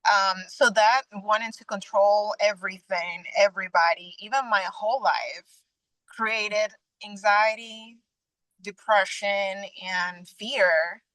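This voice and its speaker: Woman from Venezuela, earnest and halting, slow pace, dry close sound. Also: mid-range voice, fairly even intonation, a bit bright, no echo, rough recording. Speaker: guest. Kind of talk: personal testimony interview.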